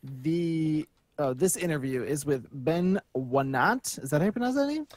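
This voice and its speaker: A man's voice: on the high side, animated, normal speed, a little mellow, no room sound. A man co-hosting a podcast, reading news aloud and chatting in an informal, joking way. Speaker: podcast co-host.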